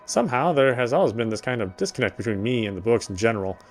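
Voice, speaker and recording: A man's voice: mid-range voice, lively intonation, quick pace. A man narrating a horror visual novel in the first person, reading steadily and often restarting his words.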